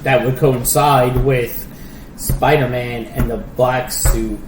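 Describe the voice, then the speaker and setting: A man's voice: medium pitch, steady tone, takes it slowly, echoey room, background noise. A man co-hosting a podcast, a few drinks in, chatting casually in a blunt, crude, teasing way. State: tipsy. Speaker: podcast co-host. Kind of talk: casual chat.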